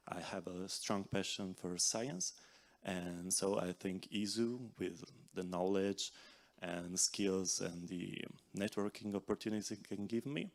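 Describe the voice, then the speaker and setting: A man's voice: lowish voice, creaky. A man giving a short, friendly self-introduction as an incoming student. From Italy.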